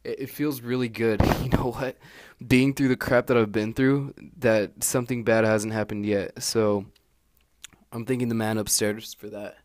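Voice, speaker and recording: Rambling young man, low easygoing voice. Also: little pitch movement, normal speed. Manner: meandering, full of fillers and restarts; laid-back and candid. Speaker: exchange student keeping an audio diary. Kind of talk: rambling personal audio log. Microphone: handheld recorder held close.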